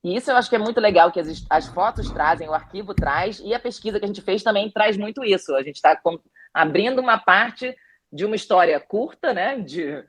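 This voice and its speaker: A woman's voice: medium pitch, lively intonation, a little fast. Brazilian woman telling a story reflectively. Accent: Brazilian Portuguese. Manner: reflective storytelling with frequent restarts. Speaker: documentary director. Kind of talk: podcast interview answers.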